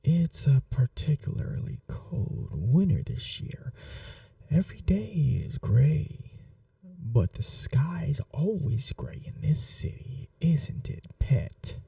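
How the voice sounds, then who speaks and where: A woman playing an aristocratic, possessive vampire mistress in a teasing, quietly menacing, breathy, intimate ASMR roleplay, often dropping to a whisper. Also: sits low, sing-song, dry recording, recorded from a distance, full character voice. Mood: wistful. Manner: slow, languid, condescendingly affectionate. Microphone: close microphone, dry.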